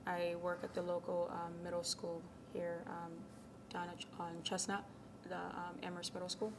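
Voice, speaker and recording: Soft-spoken young woman, low flat voice. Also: normal speed. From Ghana. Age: young adult. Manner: soft-spoken, many ums, drops to near whispers. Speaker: college tennis captain. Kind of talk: casual interview answers. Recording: noisy background.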